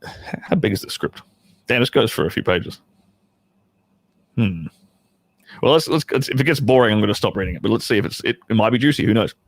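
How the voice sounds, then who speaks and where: A man's voice: fairly low pitch, animated, a little fast, pauses often, no echo. A man chatting casually and informally to livestream viewers, thinking aloud as he reads from a script, with banter and some mild swearing. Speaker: adult film actor reading a script to viewers.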